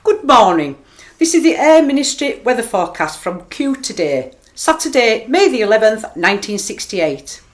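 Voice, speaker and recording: An actress plays a 1960s radio forecaster in a slightly high voice. She reads the bulletin in a measured, official manner. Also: big pitch swings. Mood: formal, composed. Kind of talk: formal scripted weather bulletin.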